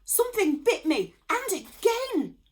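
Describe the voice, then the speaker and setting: A woman's voice: very high voice, big pitch swings, a little slow, voice pushed up, heavily acted. A woman voicing a panicky, cowardly male gangster in a children's story, yelping and wailing. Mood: pained.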